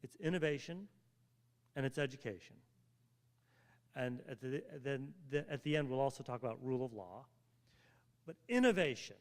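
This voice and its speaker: A man's voice: medium pitch, animated, normal speed, long pauses. A man moderating a political panel on a stage, folksy and joking, explaining with homey analogies.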